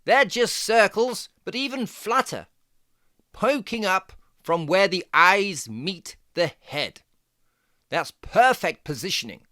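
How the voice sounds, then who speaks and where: A performer voicing a jaunty, fussy fox who gives playful, step-by-step drawing lessons for children in a theatrical, deliberate, encouraging way. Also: mid-range voice, lively intonation, pushed and bright, no echo, clean studio sound. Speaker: presenter of children's drawing tutorial.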